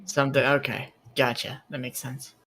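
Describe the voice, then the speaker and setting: A man's voice: medium pitch, little pitch movement, moderate pace, crowd noise. A man playing a tabletop roleplaying game, chatting casually and improvising in character with a laid-back, questioning manner.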